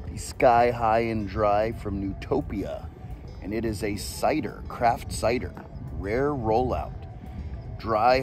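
A man, chatting casually on a boat on a lake while he vlogs about fishing and tastes drinks. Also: on the low side. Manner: relaxed, laid-back tasting commentary. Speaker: fishing vlogger. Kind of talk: casual outdoor vlog chat.

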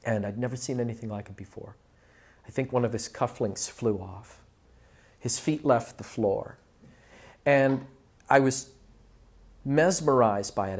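Man, forties, average pitch, articulate, deliberate, reflective interview, lapel mic. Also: darkish tone. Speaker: audiobook director. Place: small office, indoors.

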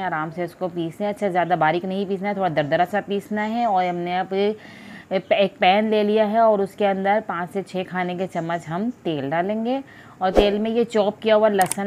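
A woman's voice: lowish voice, a little mellow, a little hiss or hum. A woman hosting her home cooking channel, explaining each step in a chatty, repetitive way from her kitchen. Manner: chatty, instructive, repeats steps. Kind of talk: home cooking tutorial narration.

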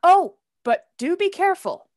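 Lively, teasing young son. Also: female voice, very high-pitched, moderate pace, dry recording, studio quality. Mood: playful. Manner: teasing, exuberant. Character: playful, teasing grown son of the family. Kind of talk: radio play acting.

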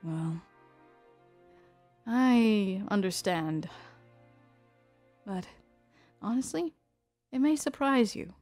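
Woman acting as thoughtful anime adventurer, young female, unhurried, pausing, hesitant phrasing, soft music behind. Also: mid-range voice, animated, no room sound. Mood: hesitant, gentle. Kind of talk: anime fan audio drama acting.

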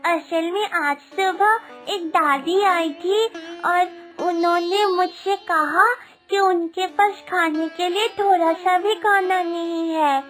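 A woman's voice: very high voice, fairly even intonation, slow, fairly bright tone, full character voice. A woman voicing a kind, innocent little village girl in a cartoon, with an earnest manner. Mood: earnest, apologetic. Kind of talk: Hindi cartoon moral story acting. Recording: music behind the voice.

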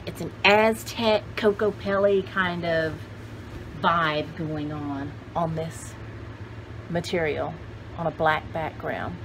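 A woman in her sixties chats casually to camera as a vlog host, rambling and enthusiastic, with exclamations. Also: lowish voice. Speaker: vlog host showing thrift haul finds. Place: cluttered home craft room, indoors. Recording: clearly noisy home video background.

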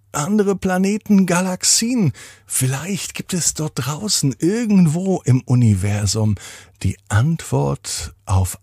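Slow, creaky-voiced man telling bedtime stories. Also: mid-range voice, dry recording, clean studio sound. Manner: gentle, deliberate, addressing children directly. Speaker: children's podcast host and bedtime storyteller. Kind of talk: bedtime story narration for children.

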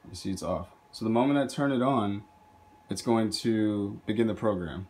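A man with a fairly low voice talks through a homemade project. He presents it casually as a video host, recorded indoors on a handheld camera. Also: moderate pace, darkish tone. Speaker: video host, hobby robot builder. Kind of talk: casual narrated project demo.

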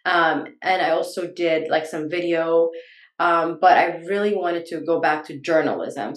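A woman in her thirties from Ethiopia, a journalist answering interview questions on a video call, chatty with lots of ums and likes. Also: sits low. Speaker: journalist guest. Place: home room with bookshelves. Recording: roomy video call audio.

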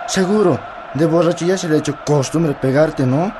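Resentful schoolboy, higher-pitched young man acting. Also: moderate pace, lightly acted. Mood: angry, reproachful. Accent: Bolivian. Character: angry, resentful schoolboy son of drinking parents. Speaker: radio drama actor. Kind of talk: radio drama acting. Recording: clearly noisy scene ambience.

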